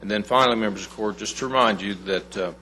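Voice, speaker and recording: A man's voice: fairly low pitch, quick pace, sharp tone, a little hiss or hum, rough recording. A man giving agenda announcements to a county court in a plain, businesslike way. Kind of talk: agenda announcements to a public meeting.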